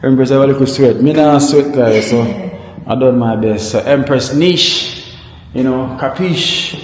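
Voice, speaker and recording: Slow-talking man in a roomy space. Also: medium pitch, slight background noise. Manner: laid-back, chatty, mixes patois with plain English. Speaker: vlogger. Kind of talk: casual talk to camera. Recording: roomy hall echo with music.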